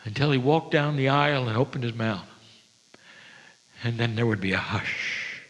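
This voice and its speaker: A man giving a spiritual sermon to an audience, speaking gently and reverently with deliberate, dramatic pauses. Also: mid-range voice. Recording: long hall reverb.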